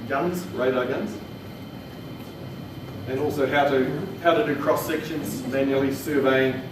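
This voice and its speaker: A man's voice: medium pitch, steady tone, a little slow. Echoey, distant New Zealand man presenting. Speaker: presenter, technical trainer. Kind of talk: informal technical presentation. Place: indoor training room. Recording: echoey room, distant mic, noisy.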